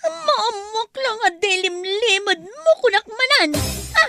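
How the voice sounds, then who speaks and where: A woman playing a jealous, suspicious girlfriend who scolds with sharp, demanding questions in an Ilocano comedy audio drama. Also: very high-pitched, lively intonation, slow, over music, heavily acted. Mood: hurt accusing. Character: jealous, nagging, suspicious girlfriend, quick to accuse.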